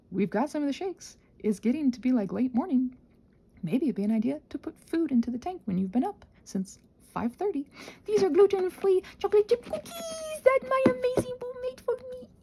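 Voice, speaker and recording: A woman's voice: high-pitched, very animated, brisk, a little mellow. A woman chatting casually in a playful, self-deprecating, tangent-prone ramble, expressively acting out her own thoughts. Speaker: ASMR and audio content creator.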